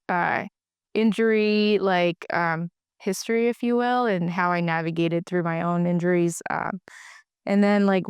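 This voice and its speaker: Smooth-voiced chatty woman guest. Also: on the low side, dry recording, clean studio sound. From Philippines. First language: Tagalog. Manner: chatty, heavy use of "like", frequent restarts. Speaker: podcast guest, physical therapist. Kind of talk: casual conversation.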